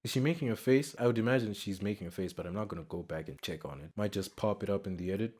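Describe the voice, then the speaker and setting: A man hosting a reaction video with casual spoken commentary, conversational and expressive, repeating phrases for emphasis. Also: on the low side, racing, very noisy.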